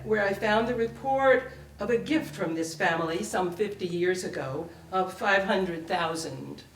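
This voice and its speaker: An older woman reads a personal essay in a slow, deliberate way, her pitch moving expressively through each literary phrase. The sound is roomy, as from a microphone some way off. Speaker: writer reading her own piece. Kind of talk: dramatic reading of a personal essay. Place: library event room, live reading. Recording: roomy, distant mic, faint noise.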